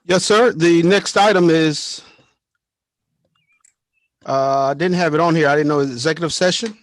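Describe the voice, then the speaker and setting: A man's voice: on the high side, unhurried, no room sound. A man serving as clerk in a virtual meeting, speaking in formal procedural style.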